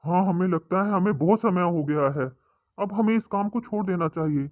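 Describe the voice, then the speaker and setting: Greedy hearty wrestler uncle, high male pitch, cartoon, man acting, phone-like narrow sound. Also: moderate pace, no room sound, close to an everyday voice. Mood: weary.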